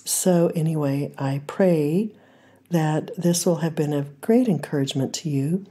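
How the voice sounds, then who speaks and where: An older woman with a hoarse, husky voice that sits low and dark. She talks and prays gently and earnestly, pausing often as she goes. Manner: gentle, earnest, with frequent pauses and fillers. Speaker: podcast host. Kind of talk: devotional talk and prayer.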